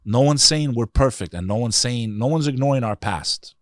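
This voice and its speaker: A man's voice: mid-range voice, normal speed, no echo, studio quality. A middle-aged man, speaking earnestly and emphatically with rhetorical questions, as an interview guest giving opinions and personal stories. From New York City area, United States. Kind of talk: impassioned opinion and personal storytelling.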